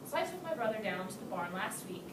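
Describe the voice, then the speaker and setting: A woman's voice: mid-range voice, big pitch swings, fast talker. A young woman, a student and writer, giving an earnest prepared speech to a class with rhetorical questions. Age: young adult. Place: classroom, recorded from a distance. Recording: roomy, distant, loud background noise.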